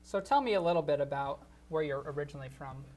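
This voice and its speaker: A man's voice: fairly high pitch, fast, darkish tone. A young man anchoring a student news show, reading the copy and asking interview questions. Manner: read-out anchor lines, loose in interview.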